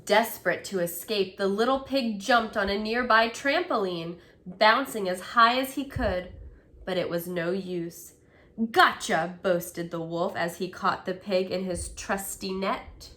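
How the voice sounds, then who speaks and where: A woman telling a story to children as a storyteller and teacher, in an expressive storybook style. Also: medium pitch, unhurried.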